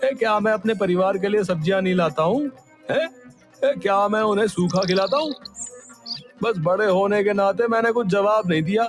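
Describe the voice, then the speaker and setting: A man's voice: high-pitched, normal speed, natural voice. A man voicing an aggrieved, complaining brother in a cartoon. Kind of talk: cartoon voice acting. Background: background music.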